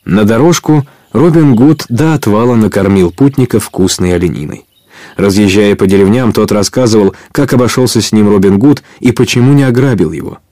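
A man's voice: lowish voice, a little mellow, no echo, clean studio sound. A man narrating an adventure audio drama in a scripted storytelling style.

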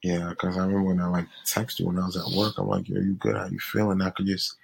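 A man's voice: low-pitched, completely flat delivery, fast talker, vocal fry, husky. A young man co-hosting a casual podcast, joking and easygoing, with lots of slang. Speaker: podcast co-host. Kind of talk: casual chat.

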